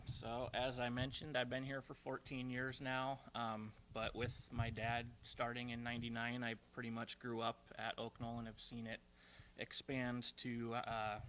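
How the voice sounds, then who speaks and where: A man's voice: medium pitch, low-quality recording. A young man, speaking plainly with many ums as he talks about his work as transportation manager to a room of residents. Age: young adult. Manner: flat, plain delivery with frequent ums. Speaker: transportation manager giving a talk. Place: community room at a retirement residence.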